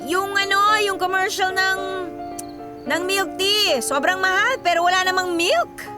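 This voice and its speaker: An actress playing an overworked young millennial daughter with a Filipino accent, theatrical and sarcastic in a comedic radio drama. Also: female voice, very high-pitched, a little slow. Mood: flustered. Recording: music behind the voice.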